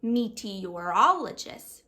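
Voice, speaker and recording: A woman's voice: mid-range voice, big pitch swings, slow, bright, forceful tone, heavily acted. A woman voicing a kind, patient mother in a children's book read-aloud, comforting and encouraging. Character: kind, encouraging mother of two young girls.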